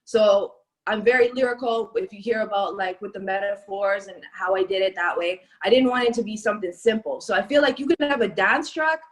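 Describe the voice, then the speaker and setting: A woman's voice: medium pitch, a little fast, poor audio. A young woman from Canada, a rapper, chatting casually in an interview and filling her talk with "like" and "um". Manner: chatty, lots of "like" and "um". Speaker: rapper guest.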